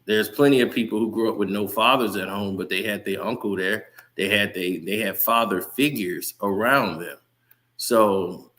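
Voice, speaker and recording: A man's voice: lowish voice, pushed and bright. A man hosting a casual sports commentary channel, ranting heatedly and talking straight to his viewers.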